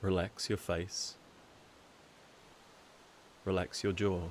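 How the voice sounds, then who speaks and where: A man narrating a guided meditation in a calm, soothing, deliberate way, leaving long pauses. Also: deep voice, a little hiss or hum.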